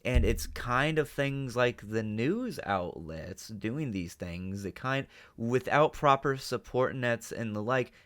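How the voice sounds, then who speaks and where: A man's voice: mid-range voice, animated, takes it slowly, hardly any pauses, fairly bright tone. A man hosting a reaction video, giving off-the-cuff analytical commentary with emphatic check-ins.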